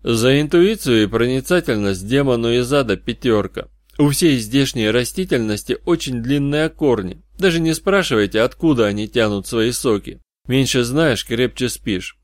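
A man's voice: medium pitch, animated, moderate pace, no echo, close to an everyday voice. Audiobook role: seasoned occult detective read by a man, secretive, wry, mock-grand, dry close sound. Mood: wry, commanding. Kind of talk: audiobook character dialogue.

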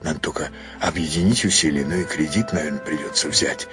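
Man as meek handyman, deep, hesitant, bewildered, subdued, radio play, light music behind. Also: fairly even intonation, a little fast. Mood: modest. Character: humble, bewildered male handyman, timid and incredulous.

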